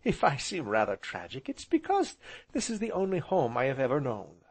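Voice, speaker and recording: A man voicing a gentle, earnest young woman in a romantic storybook, speaking softly and sweetly. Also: mid-range voice, wildly swooping pitch, normal speed. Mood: passionate. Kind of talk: audiobook character voice.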